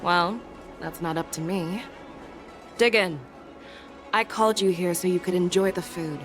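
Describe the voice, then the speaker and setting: A woman's voice: fairly low pitch, measured pace, a bit bright, faint music behind. A woman voicing a seasoned female warrior general in a game, composed, assured and wryly teasing. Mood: easygoing. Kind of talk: acted game story dialogue.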